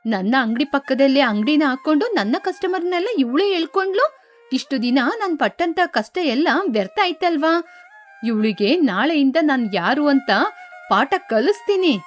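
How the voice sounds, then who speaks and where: Woman voicing a proud, high-voiced crow vendor. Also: animated, moderate pace, soft background music. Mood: resentful. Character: proud, competitive female crow samosa seller. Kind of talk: animated children's story dialogue.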